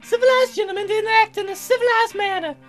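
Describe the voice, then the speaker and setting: A man voicing a courteous foreign naval officer in a very high falsetto with a put-on accent and formal, polite phrasing. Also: steady tone, quick pace, a bit bright, soft background music. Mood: courtly.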